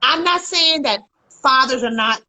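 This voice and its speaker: A woman relationship coach in a casual conversation, loud, emphatic and blunt, swearing freely. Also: high voice, expressive, a little slow.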